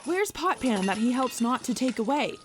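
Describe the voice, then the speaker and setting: Woman acting a harried bossy servant, highish voice, very animated pitch, Shakespearean comedy scene. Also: moderate pace, a little hiss or hum. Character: bossy, harried household servant giving orders. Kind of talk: Shakespearean audio play acting.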